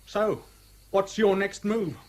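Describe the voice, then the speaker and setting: A man's voice: high voice, slow. An actor playing a vengeful, menacing British man in a radio drama, telling things in clipped, sardonic phrases. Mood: cold menace. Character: vengeful, taunting man bent on avenging his father. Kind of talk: BBC radio drama acting.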